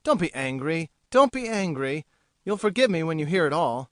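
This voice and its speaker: A woman reading an audiobook, voicing a smug, teasing young gentleman who talks in an arch, lofty way. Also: low voice, sing-song, normal speed, dry recording, studio quality, pitched below the performer's own voice. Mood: gleeful. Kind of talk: audiobook character voice.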